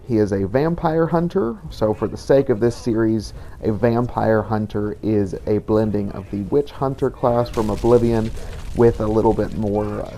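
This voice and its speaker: Low, creaky-voiced man introducing a game. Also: warm tone. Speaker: gaming channel host. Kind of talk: casual gameplay introduction commentary. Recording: noticeable background noise.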